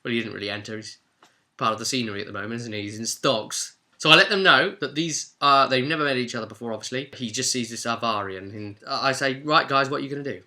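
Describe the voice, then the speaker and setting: Male game master, informal storytelling recap, animated and talkative, sharp bright tone. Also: mid-range voice, quick pace. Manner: chatty, acts out players' exchanges. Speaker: game master recapping a role-play session.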